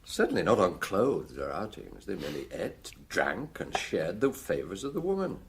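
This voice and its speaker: An actor with a British accent playing a seasoned, gruff middle-aged police chief in a radio drama, measured, ruminative and commanding, with a husky voice. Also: male voice, sits low, sing-song, a little hiss or hum. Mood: musing.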